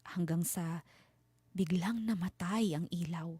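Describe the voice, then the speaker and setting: A woman storyteller giving a dramatic scripted horror narration with suspenseful, deliberate phrasing. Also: lowish voice, mellow, slightly rough, dry recording.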